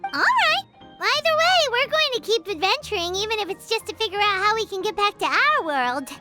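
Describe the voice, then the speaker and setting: A tiny, chirpy little companion with a very high, bouncing voice that swoops up and down. She is bouncy and a bit greedy, chatting about herself in the third person over game music. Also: sing-song, fairly quick. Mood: curious. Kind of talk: game cutscene voice acting.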